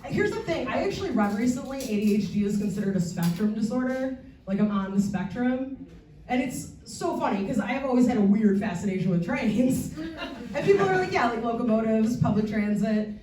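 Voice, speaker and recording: A woman, delivering bawdy punchlines deadpan between chatty asides. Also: a little high, brisk, reverberant, far from the mic, poor audio. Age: 40s. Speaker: stand-up comedian. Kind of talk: stand-up comedy set. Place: comedy theatre stage before a live audience.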